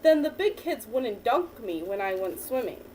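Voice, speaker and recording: A woman teacher reading a picture book aloud to a child, pausing to ask questions and praise the answers. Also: fairly high pitch, sing-song, warm tone. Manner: patient, encouraging, asks and praises. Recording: distant mic with faint background noise.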